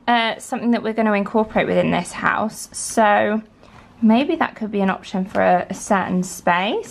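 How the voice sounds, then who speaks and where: A woman's voice: mid-range voice, slight background noise. Chatty woman vlogging a renovation. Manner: chatty, enthusiastic, lots of likes and ums. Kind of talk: casual home renovation vlog. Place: house under renovation, indoors. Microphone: camera held or set close, front-facing.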